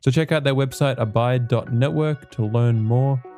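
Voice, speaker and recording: A man hosting a podcast, introducing his guest in a relaxed, friendly way. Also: medium pitch, moderate pace, soft background music. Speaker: podcast host.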